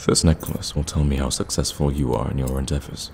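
A man playing an evil, sadistic warlock in an ASMR fantasy roleplay, teasing and menacing, often slipping into a breathy whisper. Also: rumbling low, level tone, slight background noise. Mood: teasing, scheming. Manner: slow, teasing, drawn-out hums and dark chuckles.

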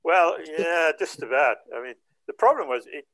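A man's voice: on the high side, big pitch swings, normal speed, a bit bright, poor audio. An older man from South Africa chatting casually and in detail on a group video call. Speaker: participant in a hobby group chat. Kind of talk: casual chat, recounting.

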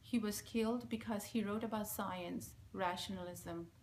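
Bangladeshi-accented woman, forties, solemn and measured reading to camera; middle pitch, light background hiss. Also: steady tone, darkish tone, low-quality recording. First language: Bengali. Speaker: speaker giving a recorded message. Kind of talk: reading a prepared statement. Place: small indoor room, plain wall. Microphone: front-facing camera at close range.

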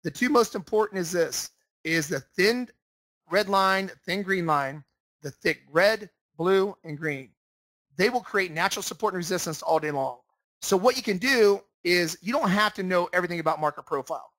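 A man's voice: sits high, expressive, normal speed, a bit bright, dry recording. A man instructing in an informal screen-share lesson, explaining emphatically and repeating himself for stress. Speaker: trading room instructor. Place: indoors, voice-over for screen share.

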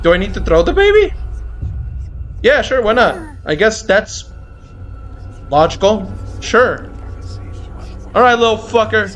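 A man's voice: sits high, very animated, a little slow, pauses often, a bit bright, lo-fi sound, soft background music. A man giving reaction commentary on a horror game, jumpy and exclaiming, swearing and repeating himself. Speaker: gaming video creator.

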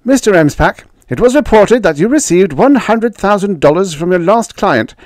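A man's voice: high-pitched, expressive, normal speed, a little mellow, no echo, studio quality, pitched above the performer's own voice. A man voicing a pushy newspaper reporter in an audiobook story, probing and insistent. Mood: pressing. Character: pressing, inquisitive newspaper reporters.

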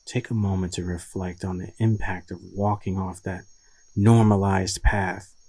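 A man leading a guided meditation in a soothing, deliberate manner with long pauses and a husky voice. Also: deep voice, level tone, warm tone.

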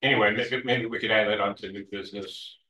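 A man's voice: on the low side, fairly even intonation, fast, bright, forceful tone, recorded from a distance, slight background noise. A man speaking as vice chair at a city board meeting, hesitant and tentative. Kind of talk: meeting discussion. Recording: echoey room, off-mic.

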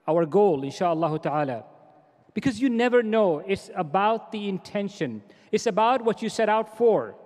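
Middle-aged man, high voice, earnest exhorting speech, podium microphones, long echo of a large hall. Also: a little mellow. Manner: earnest, exhorting, with repeated phrases. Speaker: organization president giving a welcome address. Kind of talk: formal convention welcome speech. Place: large convention hall stage.

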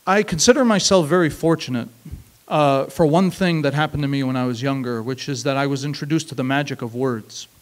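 A man in his thirties telling a personal story at an open mic on a small stage, reflective and measured, leaning on emphatic repeated phrases, speaking into a handheld mic. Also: medium pitch. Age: 30s. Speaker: spoken word performer. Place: small stage, seated on a stool.

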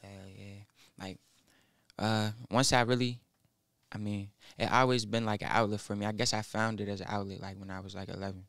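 A nineteen-year-old man, a rapper guest in a small studio, speaking haltingly into a boom mic with many fillers. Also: level tone, gravelly, a bit bright, no room sound. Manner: halting, mumbled, full of fillers. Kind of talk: casual interview. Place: small studio with foam wall. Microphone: studio mic on boom arm, headphones.

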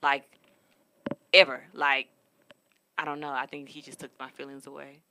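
Bright-voiced young woman telling stories. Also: rumbling low, level tone, normal speed, a little hiss or hum, poor audio. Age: 20s. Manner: candid, profane, storytelling. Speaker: podcast co-host. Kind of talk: casual chat. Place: studio room with a city backdrop.

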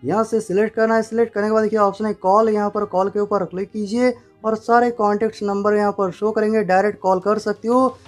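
A man's voice: sits high, level tone, fast, hardly any pauses. A man narrating a step-by-step phone tutorial in an instructional way, repeating stock phrases. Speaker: tech tutorial presenter. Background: faint music.

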